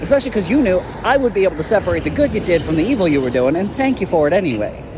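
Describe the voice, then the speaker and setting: A man's voice: high voice, sing-song, rapid-fire, noisy background. A man voicing a brash, profane young hero in an amateur audio drama who talks bluntly and defiantly. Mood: earnest, pleading.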